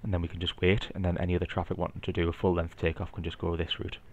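Geordie male, deep, easygoing explainer thinking aloud, slightly muffled home-recorded sound. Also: rapid-fire. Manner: relaxed, explanatory, thinking aloud with light asides. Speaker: flight simulator video maker. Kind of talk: casual narrated gameplay walkthrough.

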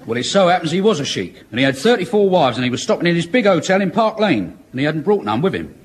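An actor playing a cheeky, streetwise young wheeler-dealer in a radio sitcom. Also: male voice, fairly high pitch, very animated, fast talker, a little hiss or hum. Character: cocky young male spiv, fast-talking wheeler-dealer.

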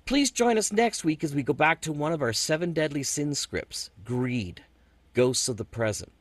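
A man's voice: on the high side, expressive, moderate pace, dry recording. A man hosting an audio drama, telling a scripted personal story in radio narration style. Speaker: host and producer.

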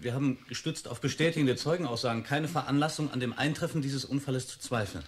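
A man's voice: mid-range voice, fast talker, lightly acted. An actor playing an earnest parapsychology researcher in a radio drama, pleading his case in a hesitant manner. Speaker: research institute staffer. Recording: faint background noise.

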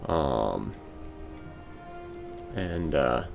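Brief: raspy, very low-voiced man, unhurried let's-play commentary full of hesitations, soft game music behind. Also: expressive. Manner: hesitant, thinking aloud with many ums. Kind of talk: casual gameplay commentary. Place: home room.

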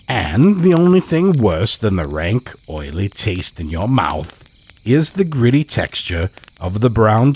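A man telling a scripted horror story as a podcast host, in a measured, dramatic way. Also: lowish voice, breathy edge.

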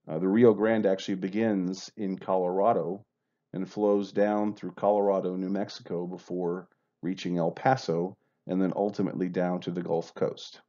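A man with a Texan accent giving a measured history lecture over slides, explaining steadily with the odd "uh.". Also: low-pitched, little pitch movement, dark tone. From Texas, USA. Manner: measured, explanatory, with filler uhs. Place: voice-over over slides, room unseen. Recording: dull lo-fi voice-over.

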